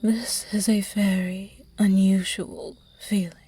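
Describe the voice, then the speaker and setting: A woman's voice: mid-range voice, little pitch movement. Woman whispering as a flirty vampire. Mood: teasing. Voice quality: breathy whisper. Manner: slow, teasing, hushed and intimate. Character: seductive predatory vampire, flirty noble demon. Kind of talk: whispered ASMR roleplay audio.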